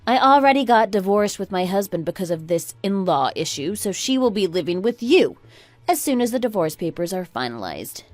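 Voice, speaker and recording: A woman playing a fed-up, sharp-tongued married woman in a radio drama, speaking in an emphatic, scornful, lecturing manner. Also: medium pitch, big pitch swings, moderate pace, dry recording, soft background music, close to an everyday voice. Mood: firm, exasperated. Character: fed-up, sharp-tongued oldest daughter-in-law, a divorcing breadwinner. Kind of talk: scripted radio drama acting.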